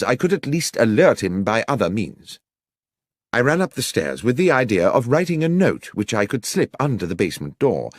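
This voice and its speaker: A British man reading a detective story as a dramatic audiobook narrator, in a measured, literary and expressive storytelling manner. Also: on the low side, dry recording, clean studio sound.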